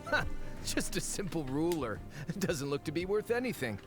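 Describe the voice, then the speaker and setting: A scheming, money-hungry boss in a video game. His voice is high for a male and swings widely, arrogant and theatrical, with faint music underneath. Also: very animated, moderate pace. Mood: dismissive. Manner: haughty, indignant, theatrical. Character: greedy, arrogant, scheming male treasure-hunting employer. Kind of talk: scripted video game dialogue.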